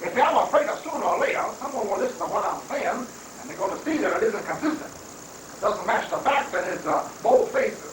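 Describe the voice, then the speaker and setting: A man's voice: sits high, expressive, a little fast. A man playing an anxious, bumbling propaganda minister with a hesitant, fretful delivery in an amateur reading of a stage satire. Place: room at a live library reading. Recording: echoey, distant room recording with faint noise.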